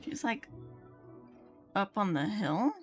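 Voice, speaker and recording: Lively woman streaming a game. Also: mid-range voice, slow. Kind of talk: casual game-stream commentary. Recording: game music behind.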